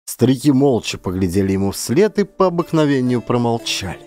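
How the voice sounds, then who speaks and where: A man narrating a literary audiobook as an expressive storyteller. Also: medium pitch, fairly quick, faint music behind.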